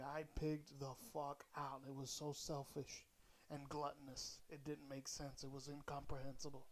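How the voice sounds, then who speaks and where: A man hosting his own podcast in a casual, rambling way, a little hoarse as he comes down with a cold. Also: a little high. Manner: rambling, loose, swears casually. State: slightly hoarse, coming down with a cold. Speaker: podcast host. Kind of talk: casual solo podcast chat.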